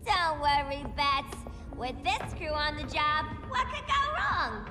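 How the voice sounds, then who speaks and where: Brash cartoonish jester girl, very high and bouncy, giddy slang delivery. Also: big pitch swings, slow pace, heavily acted. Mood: playful. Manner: giddy, slangy, sing-song. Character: zany young female antihero jester, brash. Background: game score music.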